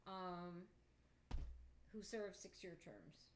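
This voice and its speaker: A woman from New York with a Pennsylvania accent, chatting in an interview, precise about facts and quick to correct details. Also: lowish voice, very animated, slight background noise. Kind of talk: casual interview conversation.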